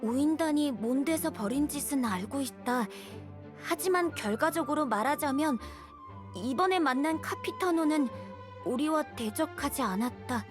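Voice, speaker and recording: A woman's voice: high voice, lively intonation, moderate pace. A woman voicing a young, timid boy surveyor in a game, polite, hesitant and earnest. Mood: earnest, formal reading. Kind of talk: scripted game dialogue, reading a letter aloud. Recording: music behind the voice. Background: music.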